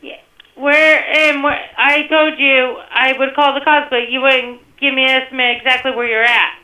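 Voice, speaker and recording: A woman's voice: sits high, little pitch movement, moderate pace, sharp tone. A woman working at a store, answering a customer phone call. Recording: echoing speakerphone call.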